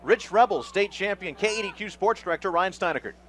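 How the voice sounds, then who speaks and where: A male radio announcer with a fairly high, very bright and forceful voice calls a football game live in short, clipped phrases. His calls turn emphatic on big plays. Faint outdoor noise sits behind him. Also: fairly quick. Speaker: play-by-play announcer.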